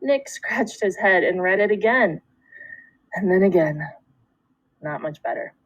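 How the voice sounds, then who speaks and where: Female schoolteacher for a children's read-aloud: animated intonation, deliberate storytelling phrasing, dry room sound. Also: medium pitch, dry recording, low-quality recording. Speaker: second grade teacher.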